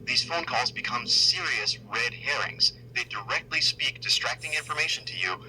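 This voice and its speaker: A man's voice: mid-range voice, normal speed, pushed and bright, a little hiss or hum, rough recording. A man reading a scripted, analytical video essay narration.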